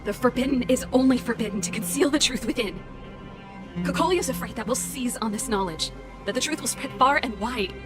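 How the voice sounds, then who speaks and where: An actress playing a bold, defiant older sister who rebels against authority in a video game, impassioned and insistent. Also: female voice, fairly high pitch, a little fast, lightly acted. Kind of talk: dramatic video game voice acting. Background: game music.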